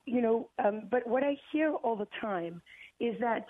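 A woman's voice: a little high, animated, no room sound. Middle-aged woman on a tinny phone line. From South Africa. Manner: deliberate, emphatic, with many fillers and repeated words. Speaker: guest journalist. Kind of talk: phone-in interview.

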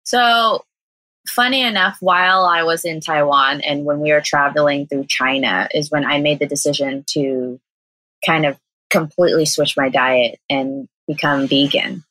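A woman's voice: deep voice, a little slow, pushed and bright, dry recording, poor audio. A young woman from Southern California with an American accent, chatting casually as an interview guest, laughing often and using lots of "like". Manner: chatty, laughing, many "like" fillers. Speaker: guest, vegan cafe owner.

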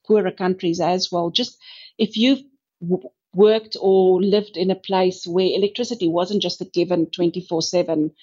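Woman, South African accent, upbeat and enthusiastic guest, fairly low voice, dull lo-fi audio. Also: steady tone, dark tone, husky. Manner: upbeat, enthusiastic, thoughtful pauses. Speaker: guest, energy executive. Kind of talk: podcast interview.

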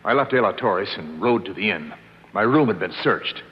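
An actor playing a steady, resolute young avenger with an American accent in a 1950s radio adventure. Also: male voice, fairly low pitch, moderate pace, husky. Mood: urgent. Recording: dull 1950s radio recording, music behind.

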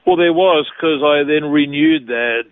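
A man from New South Wales with an Australian accent, calmly and earnestly telling his story of faith as a guest. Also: a little high, unhurried, no room sound. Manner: calm, earnest, devout. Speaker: ministry leader, interview guest. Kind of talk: reflective conversational storytelling. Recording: tinny phone line.

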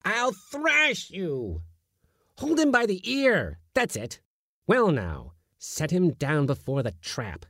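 A bellowing, irascible old-school Latin master. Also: male voice, a little high, big pitch swings, slow pace, a little mellow, dry recording, clean studio sound, pitched above the performer's own voice. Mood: commanding. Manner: blustering, bellowing, scolding. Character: irascible middle-aged male Latin schoolmaster.

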